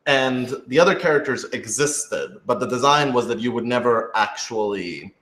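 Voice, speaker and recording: A man hosts a casual live question session in a roomy, echoey space. His voice sits at a medium pitch. He explains things in an organized way, with a dry joking streak. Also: big pitch swings, moderate pace, a bit bright. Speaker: co-host and producer of an audio drama. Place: indoor room, on a couch.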